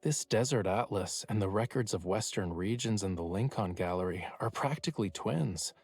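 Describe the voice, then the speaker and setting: Nonchalant young man, lowish pitch, playful teasing, romance game adventurer, background music. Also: animated, normal speed, a little mellow, breathy edge. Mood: calm, casual. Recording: music behind the voice.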